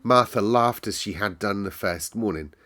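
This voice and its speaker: Lowish-voiced male storyteller, expressive. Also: normal speed. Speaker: storyteller, host. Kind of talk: expressive audiobook-style story narration.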